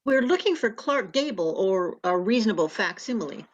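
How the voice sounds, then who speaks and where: A woman's voice: medium pitch, expressive, moderate pace, natural voice. Quipping detective partner played by a woman: dry, radio play, very varied intonation, slightly dull sound. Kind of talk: comic old-time radio play acting.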